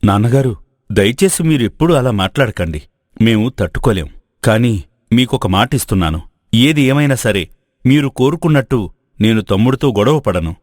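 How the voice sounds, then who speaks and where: A man's voice: mid-range voice, animated, moderate pace, no room sound, studio quality, close to an everyday voice. A man playing a dutiful, earnest elder brother in a family moral story.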